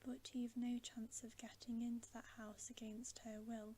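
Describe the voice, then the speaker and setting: A woman's voice: a little high, level tone, measured pace, dark tone, slight background noise, natural voice. Woman whispering as a motherly storybook beaver wife. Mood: cautioning. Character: motherly, cautioning female talking beaver.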